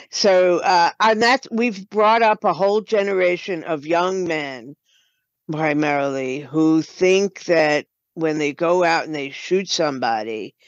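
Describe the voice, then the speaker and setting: Slow-talking woman, slightly muffled. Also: lowish voice, no room sound. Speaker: podcast guest, writer and producer. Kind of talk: unscripted interview talk.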